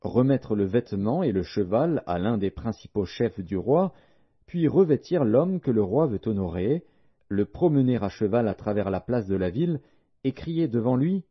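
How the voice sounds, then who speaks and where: Male narrator as proud royal official, audiobook, declamatory, boastful, husky, muffled recording. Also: medium pitch, expressive, moderate pace. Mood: grand, eager. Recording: dull, lo-fi audio.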